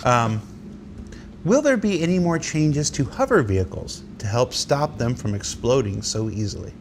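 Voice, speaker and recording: A man's voice: mid-range voice, sing-song, moderate pace, slight background noise. A man hosting a live panel, casually reading out and following up on questions. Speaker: panel show host. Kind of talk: moderating a live question session.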